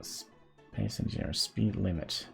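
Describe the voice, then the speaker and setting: Gravelly man streaming a game. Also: low-pitched, steady tone, slow, faint music behind. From Norway. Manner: chatty, laughs often, talks to chat while playing. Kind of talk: casual live-stream gameplay commentary.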